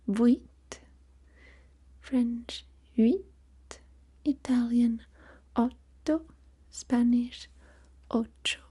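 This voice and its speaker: Woman, whispered ASMR lesson, very slow and airy, mellow tone. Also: fairly high pitch, husky. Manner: soft whispering, listing words slowly. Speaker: language teacher.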